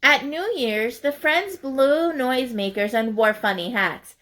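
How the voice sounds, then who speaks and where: A woman's voice: high voice, a little slow, a bit bright. A woman reading a picture book aloud like a teacher, in a sing-song, explaining way for young listeners.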